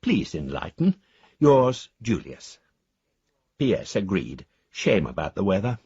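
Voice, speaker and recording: Genteel old english bookworm, very raspy, pitch swoops about, polite but stubborn, radio play, close dry sound. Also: male voice, sing-song, measured pace, darkish tone, no room sound, exaggerated voice. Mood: politely firm. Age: older. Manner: courteous, wordy, hesitant, musing. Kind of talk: radio drama, reading letters aloud. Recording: dry, slightly dull studio sound.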